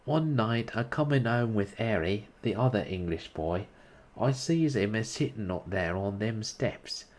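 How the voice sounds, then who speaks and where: A man's voice: fairly low pitch, animated, unhurried, a little mellow, voice pushed up. A man voicing a blunt young Cockney servant who drops his aitches. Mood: blustering. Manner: dropped aitches, rough working-class speech.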